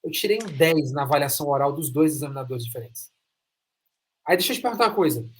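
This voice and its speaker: A man's voice: a little high, expressive, quick pace, poor audio. A Brazilian man hosting an interview podcast, talking emphatically and repeating phrases for stress. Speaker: podcast host. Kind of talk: enthusiastic interview chat.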